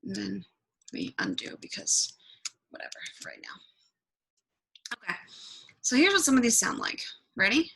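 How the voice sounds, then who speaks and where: Bright-voiced woman giving a casual software tutorial. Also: fairly high pitch, sing-song, normal speed, gravelly, long pauses, breathy edge, in a whisper, poor audio. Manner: informal, rambling, offhand asides. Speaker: tutorial presenter.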